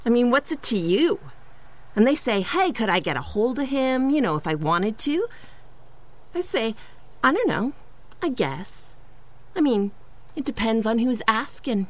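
A woman's voice: on the high side, very animated, unhurried, pauses often. A woman playing an offhand, exasperated and slangy character chatting in a comedic audio drama mock interview. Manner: slangy, casual, eye-rolling. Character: offhand, exasperated chatty female acquaintance of a superhero.

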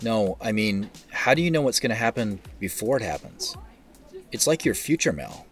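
An actor playing a measured, wry tech company boss who narrates an audio drama in the first person. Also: male voice, on the low side, animated, faint music behind, natural voice. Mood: calm, decisive. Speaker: narrator and lead character.

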